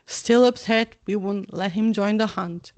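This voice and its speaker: A woman's voice: a little slow, a little mellow, no echo, lightly acted. A woman voicing a hearty, boisterous male headless ghost who roars jovially. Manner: booming, jovial.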